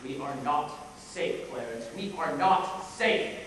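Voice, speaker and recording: A young man on stage playing a bitter, deformed, scheming villain duke in declamatory Shakespearean style with deliberate pauses. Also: sits high, a bit bright. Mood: sly, mocking. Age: young adult. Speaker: high school stage actor. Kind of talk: theatrical Shakespearean stage acting. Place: high school stage performance, recorded from afar. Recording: echoey theatre hall, distant microphone, faint noise.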